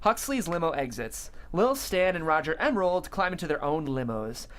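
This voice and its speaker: A woman's voice: deep voice, sing-song, moderate pace. A woman reading scripted stage directions as narrator at a comedy table read.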